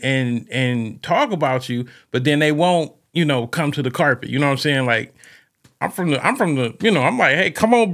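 Rambling podcast host from Ohio. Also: male voice, a little high, a bit bright. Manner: loose and rambling, many fillers. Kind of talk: casual interview.

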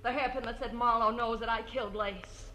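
A woman's voice: fairly high pitch, fairly even intonation, brisk, sharp tone. An actress playing a desperate, vengeful woman killer in an old radio melodrama, overwrought and intense. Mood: bitter, doomed. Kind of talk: melodramatic mid-century radio acting. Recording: noisy vintage radio recording.

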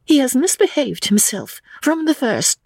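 Novel heroine, put on by a narrator: young, proper, stiff, high-voiced, breathy, indignant. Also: very animated, normal speed, no room sound, clean studio sound. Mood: cold, indignant.

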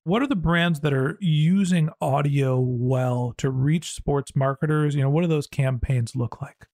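Higher-pitched man hosting a podcast, dry sound. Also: unhurried, a little mellow, no room sound. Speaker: podcast host. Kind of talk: conversational interviewing and scripted sponsor reads.

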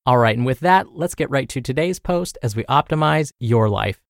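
A man narrating a health podcast, reading a blog post aloud as host with clearly phrased, presenter-like delivery. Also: mid-range voice, expressive, moderate pace, no echo, clean studio sound.

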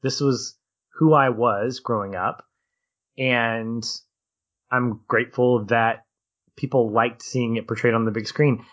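A man co-hosting a podcast talks through a film in a relaxed, animated way, laughing readily and circling back to restart his thoughts. His voice sits at a middling pitch. The audio is a little dull. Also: slow. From Arkansas. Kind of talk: casual film discussion.

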